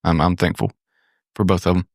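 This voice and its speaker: A man with a slight Southern drawl talking on a podcast, soft-spoken and hesitant, often repeating his words. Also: deep voice, level tone, brisk, dry recording, clean studio sound. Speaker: guest, son in recovery. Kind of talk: casual podcast conversation.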